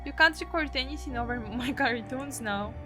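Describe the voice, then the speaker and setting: A woman voicing an excitable, stubborn little sister as she reads visual novel dialogue aloud in a childish, emphatic way. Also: high voice, moderate pace, over music, lightly acted. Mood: challenging.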